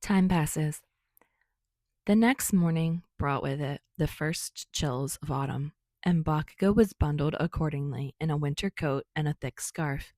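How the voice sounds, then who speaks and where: A woman's voice: sits low, measured pace, darkish tone, no room sound, studio quality. A woman reading a story aloud as a scripted narrator. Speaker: fan fiction narrator.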